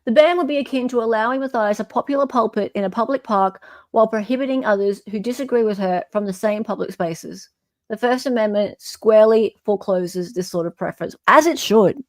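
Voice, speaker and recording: A woman's voice: medium pitch, a little fast, dry recording. An Australian woman hosting an online show, reading a legal filing aloud with emphatic, incredulous off-the-cuff commentary.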